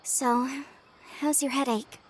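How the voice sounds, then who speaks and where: High-voiced caring young sister. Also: female voice, fairly even intonation, slow, a little hiss or hum, low-quality recording. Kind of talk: video game character acting.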